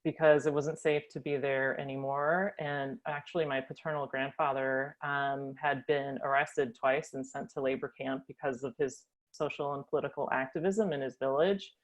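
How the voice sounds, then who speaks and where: An American woman telling her family story as a guest, thoughtful with frequent pauses and ums. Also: sits low. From United States. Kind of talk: reflective personal storytelling.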